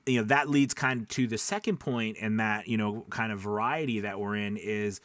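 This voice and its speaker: A man's voice: on the low side, steady tone, moderate pace, fairly bright tone, no room sound. A man hosting an instructional show, talking informally and conversationally, often checking in with listeners. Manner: conversational, peppered with checking tags like "right?". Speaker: host of a voiceover training show. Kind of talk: informal instructional talk to viewers.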